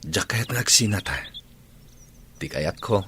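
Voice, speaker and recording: A man's voice: lowish voice, expressive, measured pace, pushed and bright, slight background noise. A man with an Ilocano accent playing a devoted young rancher's son in a radio drama. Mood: tender, earnest.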